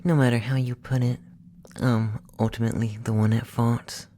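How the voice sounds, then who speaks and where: A man playing a curious, condescending alien abductor in an ASMR roleplay, murmuring softly and half-whispering close to the microphone. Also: mid-range voice, little pitch movement, slight background noise, lightly acted. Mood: worried, exasperated. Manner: slow, hushed, half-whispered murmuring with musing pauses. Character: soft-spoken alien abductor, curious, condescending, flustered young male.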